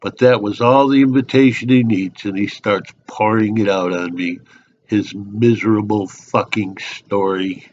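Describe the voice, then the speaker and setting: A man's voice: lowish voice, steady tone, unhurried, a little mellow, dull audio, a little hiss or hum, close to an everyday voice. A man playing a sullen, foul-mouthed loner drinking at a bar, telling his story wearily as a dramatic monologue. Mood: irritated, weary. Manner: sullen, terse, weary storytelling.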